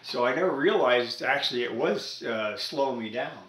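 An older man talks at his home workbench in a relaxed, meandering way, stopping often for fillers and restarts. His pitch sits in a middle range. The small workshop gives his voice a noticeable roomy echo. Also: normal speed. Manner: rambling, hesitant, many fillers. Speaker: radio repair hobbyist vlogger. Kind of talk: casual workbench vlog. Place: cluttered home workshop with electronics bench.

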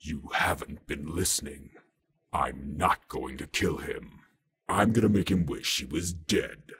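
A man playing a cold, threatening masked TV villain in slow, deliberate words, with electronic processing on the voice. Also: fairly bright tone, full character voice. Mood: cold menace. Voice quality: very deep, processed, rough. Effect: pitch-lowered voice, some with distortion or chorus. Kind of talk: TV supervillain impression.

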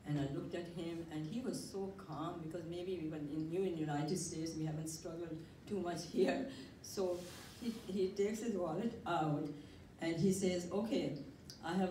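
A woman's voice: lowish voice, mellow. An older woman at a workshop telling a personal story in a conversational, hesitant way with fillers, speaking into a handheld mic in a community room. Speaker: workshop participant. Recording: echoey room, mic at a distance, noisy.

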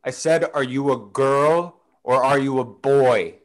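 A man's voice: medium pitch, a little slow, natural voice. A man playing a rowdy, drunk young college guy who mocks and jeers, in a table read over a video call. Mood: menacing mockery. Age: young adult. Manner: loud, mocking, jeering. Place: indoor room, video call. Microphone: video call, computer mic.

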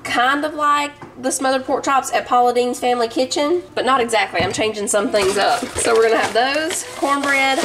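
A woman's voice: high voice, normal speed, few pauses, background noise. A woman with a Southern US accent, chatting casually in a folksy way as she narrates a home cooking vlog. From Southern United States. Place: home kitchen.

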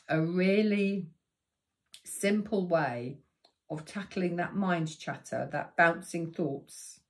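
A woman in her sixties with a croaky voice, giving practical meditation tips to the camera in a chatty, unhurried way from her living room. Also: fairly low pitch. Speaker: meditation teacher. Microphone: camera in front of her.

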